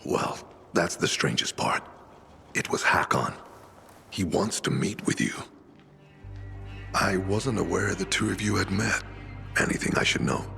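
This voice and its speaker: A very low-pitched, weathered older man, the grave veteran leader of a band of survivors in a video game. His voice crackles with gravel yet has a forceful edge, and he speaks with deliberate, reflective weight over faint music. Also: animated, heavily acted. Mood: wry, grave. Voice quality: gravelly, rough. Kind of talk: video game cutscene acting.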